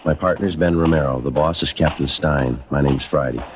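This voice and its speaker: An actor with an American accent playing a terse, world-weary police sergeant in a 1950s radio crime drama, clipped and matter-of-fact. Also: male voice, extremely low pitch, normal speed, a little hiss or hum. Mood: deadpan. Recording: muffled old radio recording with music behind.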